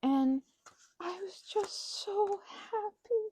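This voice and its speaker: A woman rambling casually as she crafts, talking in a gushing, halting way and often interrupting herself. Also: very high voice, lively intonation, dark tone, a little hiss or hum. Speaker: hobby ASMR and roleplay audio creator.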